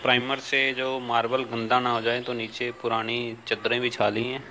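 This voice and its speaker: Man in his 30s, chatty home vlogger, bright tone, phone-at-arm's-length sound with faint noise. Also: mid-range voice, level tone, moderate pace, rough recording. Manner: chatty, explains step by step. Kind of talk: casual home-building vlog narration. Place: house under construction, indoors and on a veranda.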